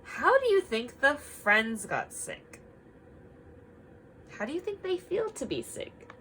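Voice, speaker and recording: Slow, animated woman reading to children. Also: high voice, slight background noise, low-quality recording. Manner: expressive, child-directed, emphatic, asks simple questions. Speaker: teacher reading a picture book aloud. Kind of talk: children's read-aloud with guiding questions.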